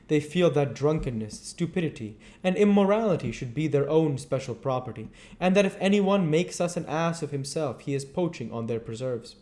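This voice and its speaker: A man voicing a witty, vain English gentleman from a novel, with an exclamatory, teasing and pompous manner. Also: on the high side, close to an everyday voice.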